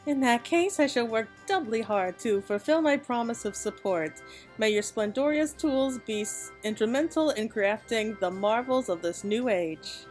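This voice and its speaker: A woman's voice: on the high side, normal speed, darkish tone, faint music behind, lightly acted. A woman voicing a courteous, formal merchant from a fantasy game, with old-fashioned phrasing. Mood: earnest. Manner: formal, gracious.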